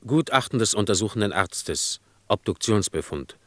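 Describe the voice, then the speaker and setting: An actor playing a dry official reading out case files in a German radio crime drama, clipped and factual. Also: male voice, fairly low pitch, expressive, moderate pace, fairly bright tone, dry recording. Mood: matter-of-fact.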